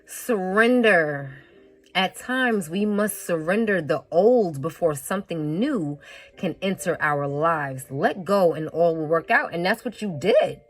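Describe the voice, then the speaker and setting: Blunt, lower-voiced woman reading tarot. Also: animated, measured pace. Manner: frank and blunt, with frequent swearing and laughing asides; repeats phrases for emphasis. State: slight head cold, sniffling. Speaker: tarot reader.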